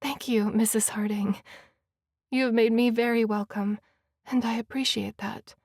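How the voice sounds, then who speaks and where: A woman's voice: a little high, steady tone, unhurried, darkish tone, no echo, studio quality. A woman voicing a polite, somewhat hesitant young woman in an audiobook.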